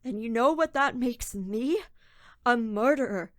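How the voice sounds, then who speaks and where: Slow, guilt-ridden young thief played by a woman. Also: a little high, no room sound, clean studio sound, close to an everyday voice. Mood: anguished. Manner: brooding, dramatic first-person confession. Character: guilt-ridden young man, desperate petty thief narrating. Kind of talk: radio drama acting with narration.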